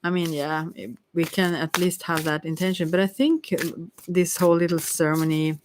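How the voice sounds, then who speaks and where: A tarot card reader talking informally to listeners in a halting, rambling way, often stumbling over words. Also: medium pitch, noisy background.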